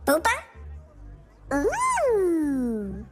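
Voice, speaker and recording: A woman's voice: extremely high pitch, wildly swooping pitch, drawn-out words, faint music behind, exaggerated voice. A woman voicing a small, cute game creature who speaks only in questioning, wordless babble. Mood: curious, cute.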